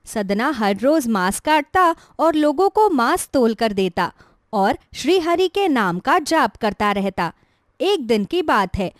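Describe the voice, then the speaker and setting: Female narrator for a scripted moral tale. High register, very expressive intonation, dry recording. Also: no echo.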